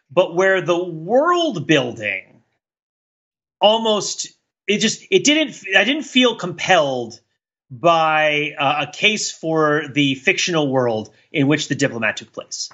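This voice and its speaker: A male podcast co-host with a bright, forceful voice and a lively, swooping delivery. He thinks aloud in halting, animated bursts during casual discussion. The recording is slightly muffled and lo-fi. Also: a little high.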